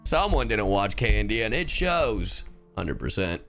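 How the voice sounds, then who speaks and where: A casual game streamer with a very animated, swinging delivery. The talk is slangy, joking and sweary, over faint game music. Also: medium pitch, measured pace. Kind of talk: casual livestream commentary.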